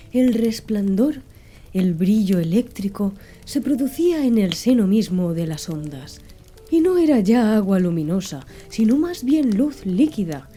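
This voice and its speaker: Hushed, mellow woman audiobook narrator. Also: mid-range voice. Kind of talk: soft ASMR audiobook narration. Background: faint background music.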